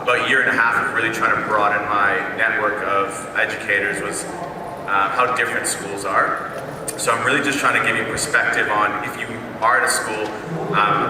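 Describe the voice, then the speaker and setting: Loud Canadian man, echoey hall. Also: lowish voice. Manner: loud, informal, self-deprecating. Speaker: primary school teacher. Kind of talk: conference talk. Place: large conference hall. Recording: echoey hall, distant mic, background noise.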